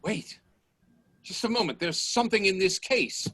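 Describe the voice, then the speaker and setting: A bold, commanding professor and inventor in a radio play, acted by a man. His voice is fairly high and husky, with a forceful edge. He speaks with dramatic urgency and emphasis. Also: animated, moderate pace, no room sound, heavily acted. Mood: intent.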